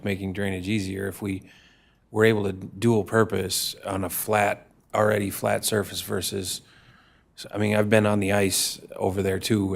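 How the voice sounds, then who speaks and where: A man's voice: on the low side, vocal fry. A man serving on a city council, asking a question at a meeting in a rambling, thinking-aloud way. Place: council chamber.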